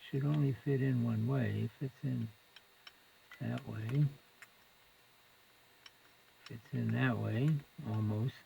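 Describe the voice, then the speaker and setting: A man with a gravelly, fry-laden voice talks through a hands-on repair. He takes his time, muttering his thoughts in short fragments as they come. A little background room noise sits behind his mid-pitched voice. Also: fairly even intonation, takes it slowly, long pauses, mellow, rough recording. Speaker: electronics repair hobbyist, presenter. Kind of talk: unscripted hands-on repair commentary.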